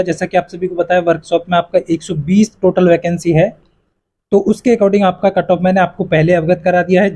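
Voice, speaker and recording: A man's voice: high-pitched, mellow. A man casually explaining exam cutoff scores to students, addressing them as friends, with a repetitive, reassuring manner and lots of fillers. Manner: repetitive, fills with "yahan par" and "jo hai". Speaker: exam coaching YouTuber.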